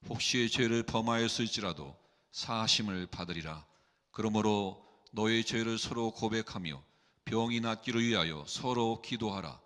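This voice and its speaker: A man reading scripture aloud in a steady, formal way. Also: on the low side, normal speed. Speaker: scripture reader. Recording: long church reverb.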